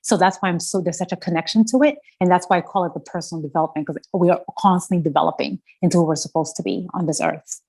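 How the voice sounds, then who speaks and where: A woman's voice: fairly low pitch, fast talker, gravelly, few pauses, a little mellow, breathy edge. A woman entrepreneur answering podcast interview questions, earnest and passionate, with a strong voice. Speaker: fragrance founder, podcast guest.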